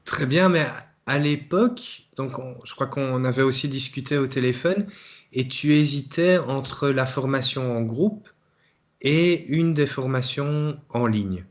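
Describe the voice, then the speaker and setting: A man's voice: mid-range voice, unhurried. A man, the course trainer, asking brief questions and giving short acknowledgments in a casual interview.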